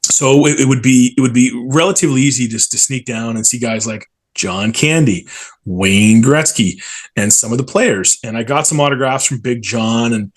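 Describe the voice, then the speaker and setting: This is a man in his forties with a medium-pitched voice. He tells stories casually, like an interview guest among friends. His manner is enthusiastic and colloquial as he reminisces. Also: moderate pace. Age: 40s. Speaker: stadium PA announcer, interview guest.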